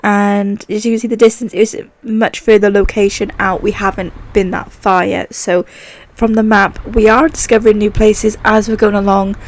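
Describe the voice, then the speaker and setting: A young woman with a croaky sore throat chatting casually and upbeat while playing a driving game, with faint vehicle sounds behind her. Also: mid-range voice, little pitch movement, moderate pace, rough recording. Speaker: gaming channel host. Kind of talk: casual gameplay commentary.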